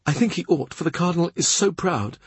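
A man's voice: fairly high pitch, expressive, brisk, breathy edge, no echo, pitched above the performer's own voice, full character voice. A man voicing a young court lute player who chatters gossip. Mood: gossipy.

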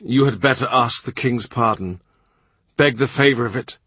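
A man's voice: medium pitch, moderate pace, a bit bright, husky, dry recording. A man voicing a shrewd middle-aged royal minister who talks in a dry, cutting, sardonic way. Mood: cool, sardonic. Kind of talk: audiobook character reading.

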